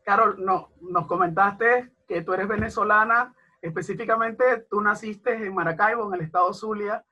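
Interview host, bright edgy voice, muffled lo-fi audio. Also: medium pitch, moderate pace, few pauses. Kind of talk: interview questions. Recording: dull, lo-fi line.

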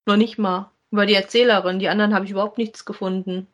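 A woman's voice: mid-range voice, steady tone, quick pace. A woman chatting casually as a podcast co-host, making brief, plain remarks. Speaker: podcast co-host reviewing audio dramas. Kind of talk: casual chat, reviewing audio plays.

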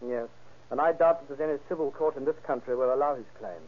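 An actor playing a polite gentleman witness with formal wording in an old radio drama. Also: medium pitch, fast, natural voice. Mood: courteous. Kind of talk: 1930s radio acting. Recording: muffled old radio recording.

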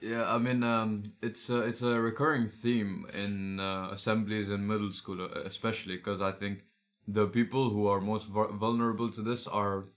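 A man with a fairly low voice who argues his points with conviction and keeps tossing in quick words of agreement. His talk is loose and conversational. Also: flat delivery, a bit bright. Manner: emphatic, quick agreeing interjections. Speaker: discussion participant. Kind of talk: casual group discussion.

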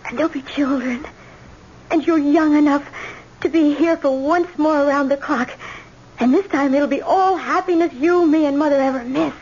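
Actress as devoted young granddaughter, very high earnest voice, old radio play, muffled. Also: female voice, moderate pace, a little mellow, a little hiss or hum, lightly acted. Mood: hopeful, resolute. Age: young adult. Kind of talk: old-time radio drama acting.

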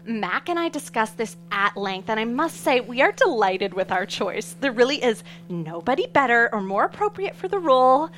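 A woman's voice: a little high, very animated, normal speed, hardly any pauses, a bit bright, a little hiss or hum. A woman reading a peppy aerobics instructor. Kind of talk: comedy script table read.

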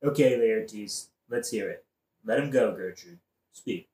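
A man playing a smooth-talking, scheming king in a satirical audio play, with a glib modern delivery. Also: on the low side, a little slow, warm tone, natural voice. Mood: placating, self-satisfied. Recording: roomy, echoing audio-play recording.

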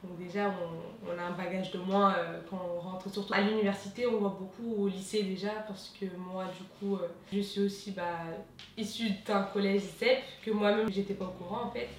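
A woman student speaking in a measured, hesitant way about her schooling. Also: mid-range voice, steady tone, a little hiss or hum. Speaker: student giving testimony. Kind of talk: casual conversational testimony. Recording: very echoey room, distant mic.

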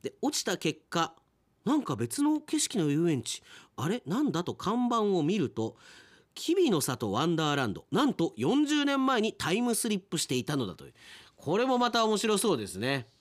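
A male radio announcer in relaxed after-show mode. His pitch moves around widely and expressively as he reads out mail and adds chatty remarks of his own. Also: moderate pace. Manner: chatty, commenting on the stories he reads. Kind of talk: casual podcast after-talk, reading listener mail.

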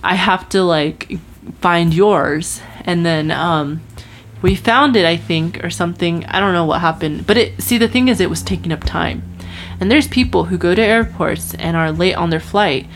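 Chatty young woman with a low voice. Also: moderate pace, slight background noise. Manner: chatty storytelling with many fillers and restarts. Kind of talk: casual chat.

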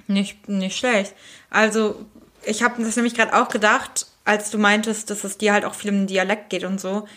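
A woman's voice: medium pitch, level tone, normal speed, a bit bright. A woman chats casually and mostly answers her partner. She gives short agreements and thinks aloud about her own habits. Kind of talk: casual chat.

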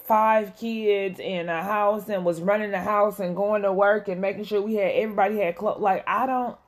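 A woman's voice: mid-range voice, steady tone, normal speed. Laughing woman in her thirties. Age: 30s. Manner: laughing, frank and profane. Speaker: host. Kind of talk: casual chat.

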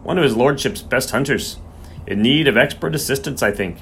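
A man's voice: medium pitch, expressive, fairly quick, slight background noise, natural voice. A man voicing a sneering, bad-tempered horse-keeper in an audiobook, snarling and sarcastic. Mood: dismissive, sneering.

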